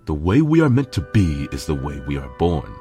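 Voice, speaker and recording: Man acting a very deep, stern pony father. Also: sing-song, a little fast, music in the background. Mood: stern, proud. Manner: lecturing, emphatic. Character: stern proud pony father, old royal guard veteran. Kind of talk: fan fiction audio drama.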